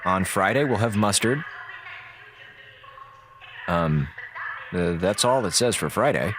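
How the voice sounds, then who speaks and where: Animated, sardonic male officer. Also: sits low, slow pace, music in the background. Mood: wry. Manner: sarcastic, casual, rambling. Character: wisecracking, sardonic male space station communications officer. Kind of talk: radio drama audio log narration.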